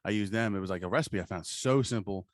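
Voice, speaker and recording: Rambling American man, lowish voice, dry sound. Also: quick pace, no echo. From New Jersey, USA. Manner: rambling, profane, slangy. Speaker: podcast co-host. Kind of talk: casual comedic chat.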